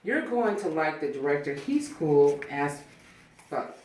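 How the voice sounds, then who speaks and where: A woman acts out an easygoing female barber, chatty and plain-spoken, in a film script read-through. Her voice sits low and sounds far from the mic in a very echoey room. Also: big pitch swings, a little slow, a crowd in the background. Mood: relaxed, friendly. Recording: very echoey room, distant mic, faint noise.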